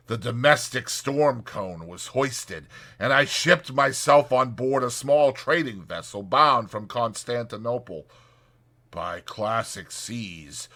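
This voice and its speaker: An animated, bright-voiced seafaring rat played by a man from a storybook. He is worldly and boastful, lingering slowly and grandly over memories of his voyages. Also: mid-range voice, heavily acted. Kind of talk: dramatized audiobook character reading.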